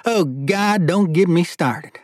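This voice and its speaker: An older Southern judge, loud and robust in a thick Georgia drawl. His voice rides high and close, with folksy, exasperated humor and emphatic delivery. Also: slow pace, no echo. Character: boisterous older Southern judge, short pudgy, exasperated, joking.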